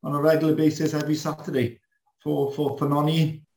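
A Welsh-speaking man with a Welsh accent, reminiscing casually with many pauses and fillers. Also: on the high side, roomy sound, slight background noise, rough recording. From Wales. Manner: relaxed, rambling with many fillers. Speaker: guest, former pupil. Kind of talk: reminiscing in a group video chat.

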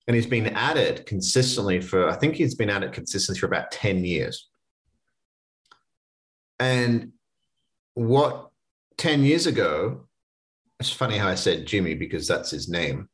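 A man's voice: fairly low pitch, lively intonation, a little slow, pauses often, fairly bright tone. A man in his late twenties hosting a solo motivational podcast, talking in a casual, earnest way. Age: 20s. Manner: casual, earnest, conversational with restarts. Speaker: podcast host.

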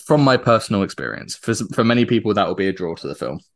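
A man's voice: on the low side, sing-song, racing. A British man chatting enthusiastically as a podcast guest, laughing often between his points. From Britain. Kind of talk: casual chat.

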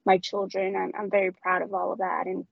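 A woman from Medellín, Colombia, with a Colombian accent and Spanish as her first language, speaking as a guest in a casual interview, often pausing and restarting. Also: medium pitch, monotone, creaky, dry recording, phone-quality sound. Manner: hesitant, many restarts and fillers. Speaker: guest, customer success director.

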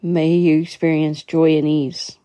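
A woman with a low, soft-edged mellow voice guides a meditation. She reads in a measured, soothing way, now and then tripping on a phrase. The sound is close and dry, with very little room around her. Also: level tone, dry recording. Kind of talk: guided meditation, slow instructional reading.

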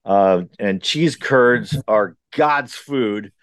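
A man's voice: on the low side, extremely slow, clear, resonant tone, no room sound. A man from Wisconsin hosting a podcast, chatting casually with many ums and uhs in an earnest, rambling way. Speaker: podcast host. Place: remote recording.

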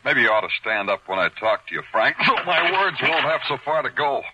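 An actor playing a sardonic police detective in 1940s radio noir, with clipped, wry, needling delivery. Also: male voice, medium pitch, sing-song, brisk, dull audio, very noisy, close to an everyday voice. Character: hard-boiled, sardonic male police detective. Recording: old, rough-sounding radio drama recording.

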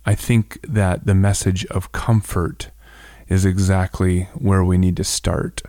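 A man hosting an informal devotional reflection, speaking in a halting, thoughtful way with frequent pauses. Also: low voice, mellow, no echo, clean studio sound. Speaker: host giving a reflection.

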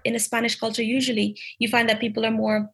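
Husky, gravelly Bahamian woman teacher. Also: a little high, quick pace. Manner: lively, emphatic, restarts phrases. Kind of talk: interview answers.

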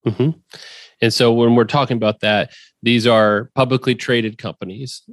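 A man's voice: medium pitch, steady tone, moderate pace, no room sound, clean studio sound. A man co-hosting a finance podcast, chatting casually and joking. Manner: joking, conversational, summarizing. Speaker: podcast co-host.

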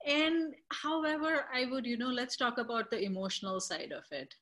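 A woman hosting an interview, speaking in a thoughtful, halting way with fillers. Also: high voice, a bit bright. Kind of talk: conversational interview.

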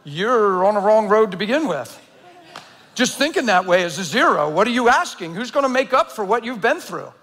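A man's voice: big pitch swings, normal speed. Fiery preaching man in his fifties. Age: 50s. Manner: builds from low, quiet delivery to shouting intensity. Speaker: pastor. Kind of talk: sermon. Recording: long hall reverb.

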